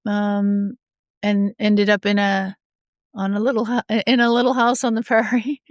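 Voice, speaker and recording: A woman's voice: medium pitch, level tone, unhurried, dry recording, studio quality. A middle-aged woman raised in Australia, a judge telling her recovery story candidly in an interview, a little sniffly from a cold, with many restarts. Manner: frank, often laughs softly, many fillers and restarts. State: getting over a cold, a bit sniffly. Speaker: judge, podcast guest.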